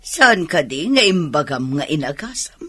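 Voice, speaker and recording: A woman's voice: low voice, sing-song, unhurried, a bit bright, husky, full character voice. An actress playing a stern, unbending, curt woman running things at a medical center in an Ilocano radio drama. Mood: defensive, uneasy.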